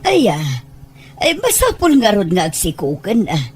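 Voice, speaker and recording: A woman's voice: medium pitch, wildly swooping pitch, a little slow, breathy edge, full character voice. A woman with a Filipino accent playing a chatty, inquisitive village neighbor in an Ilocano audio drama, full of exclamations. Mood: curious.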